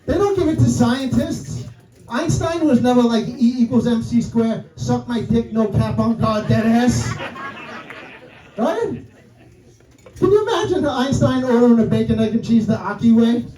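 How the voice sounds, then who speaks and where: Brash New York stand-up, high and mellow-toned, echoey club room, heard from afar. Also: male voice, animated, normal speed. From New York City. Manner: brash, profane, keeps asking the crowd "right?" and "guys". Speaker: stand-up comedian. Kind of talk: stand-up set. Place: comedy club stage. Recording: roomy club sound from a distance. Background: audience laughter.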